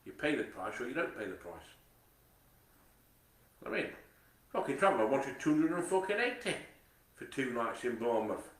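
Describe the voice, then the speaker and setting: A man's voice: medium pitch, sing-song, normal speed, long pauses, a bit bright, echoey room, far from the mic. A man with a Nottingham accent, rambling in a monologue to camera, swearing constantly, repeating himself and stumbling over his words. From England. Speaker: vlogger.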